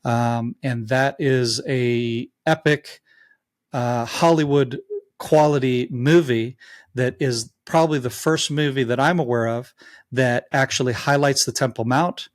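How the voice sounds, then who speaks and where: A man in his forties from Israel with an American accent, answering interview questions haltingly with many fillers. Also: mid-range voice, fairly even intonation, dry recording, clean studio sound. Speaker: guest.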